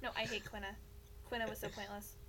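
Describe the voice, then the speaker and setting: A woman's voice: mid-range voice, level tone, quick pace, crowd noise. A young woman in casual gaming chat as a podcast co-host, blunt and opinionated.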